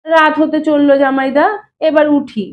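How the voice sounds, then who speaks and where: A woman's voice: sits high, flat delivery, normal speed, muffled recording, lightly acted. A woman reading an audio story, playing a reluctant younger man who answers defensively but respectfully. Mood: evasive, protesting. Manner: evasive, protesting, deferential.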